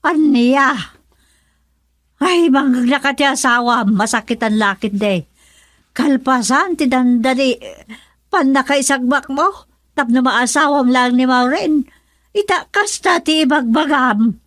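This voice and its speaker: A woman's voice: high-pitched, measured pace, a bit bright, no echo, clean studio sound. A woman acting a fretful, scolding Ilocano mother and grandmother in a radio drama, with an Ilocano accent.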